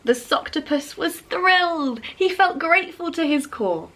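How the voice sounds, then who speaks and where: A woman's voice: very high voice, measured pace. A woman storyteller reading a rhyming picture book aloud expressively for young children. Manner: animated, addresses young listeners.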